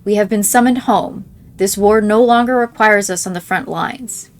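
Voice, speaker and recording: A woman's voice: mid-range voice, normal speed. A woman reading written in-game messages aloud as a scripted narration. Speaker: game playthrough creator reading in-game mail aloud. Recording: game music behind the voice. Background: game music.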